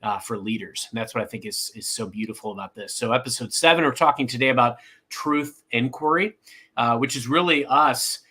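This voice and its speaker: A man's voice: mid-range voice, fairly quick, sharp tone, breathy edge. A man hosting a podcast, eager and enthusiastic, with frequent fillers and restarts. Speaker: podcast host. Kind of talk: podcast introduction and chat.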